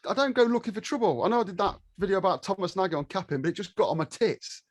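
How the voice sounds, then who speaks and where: A man's voice: high voice, quick pace, dry recording, studio quality. A man with a British accent chatting casually as a podcast guest, sweary and cheeky with a joking manner.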